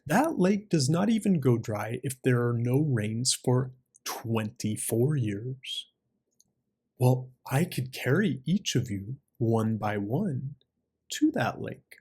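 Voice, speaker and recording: A man plays an old crane from a storybook fable, husky and very slow. The bird is a schemer who talks gravely and philosophically, coaxing with feigned sorrow. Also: medium pitch, animated, warm tone, heavily acted. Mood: persuasive.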